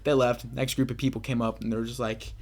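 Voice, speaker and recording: A young man with a mid-pitched voice rambles through a casual story as if chatting to his viewers. He swears freely and talks with crude, self-mocking bravado, in the loose style of gameplay commentary. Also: level tone, fast, a little mellow. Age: young adult. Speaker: gaming video commentator.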